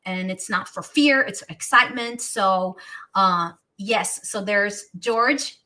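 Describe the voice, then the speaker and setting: A woman with a Romanian accent hosts a casual live chat, and her voice comes across bright and forward. She gushes excitedly about what is coming up and often restarts her sentences mid-thought. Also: mid-range voice, slow. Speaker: host.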